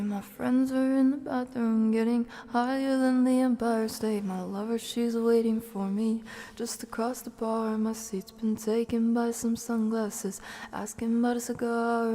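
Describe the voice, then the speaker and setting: Slow, high, soft singing woman, long reverb. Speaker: streamer singing song requests. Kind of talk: soft ASMR singing stream with casual chat.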